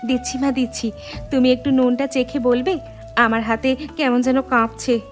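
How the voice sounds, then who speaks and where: A woman's voice: high-pitched, level tone, normal speed, soft background music, natural voice. A woman playing a young Bengali daughter-in-law in a cartoon, caring, earnest and respectful, eager to please. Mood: earnest, nervous. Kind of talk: animated moral story voice acting.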